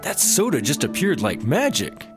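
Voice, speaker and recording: Casting: wisecracking comedy sci-fi partner; very expressive, exclamatory, lightly high-pitched. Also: male voice, moderate pace. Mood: impressed. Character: excitable, wisecracking male sidekick in squad duo. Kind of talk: sci-fi comedy audio drama acting. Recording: music behind the voice.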